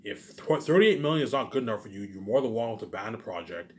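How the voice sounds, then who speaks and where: Fast-talking, boastful man rambling. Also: lowish voice, expressive, a little mellow, slight background noise. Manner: repetitive, boastful, emphatic, sprinkled with swearing. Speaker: vlogger. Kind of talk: rambling informal monologue.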